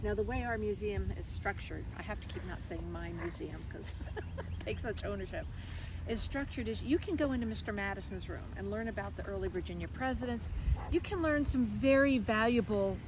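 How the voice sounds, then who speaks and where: A woman's voice: medium pitch, fairly quick, background noise. A woman speaking as an interview guest and museum director, explaining things in an earnest, articulate, conversational way.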